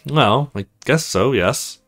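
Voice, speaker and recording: A man's voice: mid-range voice, animated, slow, a bit bright, faint music behind. A man voicing an awkward young male recruit in a visual novel, hesitant and grumbling to himself.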